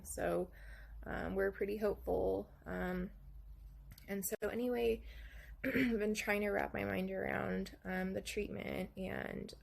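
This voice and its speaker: A young woman at home giving a soft, halting personal update, her voice hoarse and raspy after surgery, with many pauses and frequent throat-clearing. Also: mid-range voice, a little hiss or hum. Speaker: vlogger sharing her recovery. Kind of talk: casual personal update to camera.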